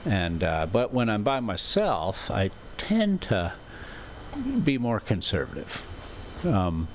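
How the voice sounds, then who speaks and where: A man hosting a casual travel vlog, rambling in a folksy, halting, thinking-out-loud way as he chats and reads aloud. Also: fairly low pitch, very animated, a little hiss or hum. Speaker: RV travel vlogger and host.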